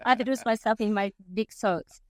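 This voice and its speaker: A woman's voice: a little high, sing-song, slow, darkish tone. A woman in her fifties from Japan with a Japanese accent, a passionate interview guest who often laughs and corrects herself mid-sentence. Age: 50s. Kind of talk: conversational interview.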